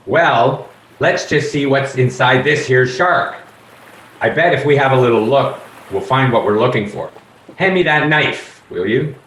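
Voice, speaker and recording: An actor as a folksy, shrewd inspector with a mid-pitched voice. He is deliberate and accusing, in radio drama style, in a very roomy space with faint noise. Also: fairly bright tone, lightly acted. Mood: firm, assured. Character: shrewd, folksy detective inspector laying out a case. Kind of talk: radio play acting. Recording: echoey room with faint noise.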